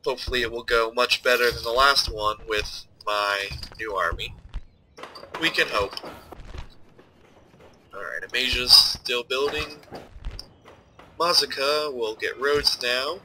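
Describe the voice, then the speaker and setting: A man's voice: mid-range voice, slow pace, long pauses, bright, forceful tone, slight background noise, rough recording. Nasal, stuffed-up young man rambling. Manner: rambling, thinking aloud. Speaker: let's play host. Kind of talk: casual gameplay commentary.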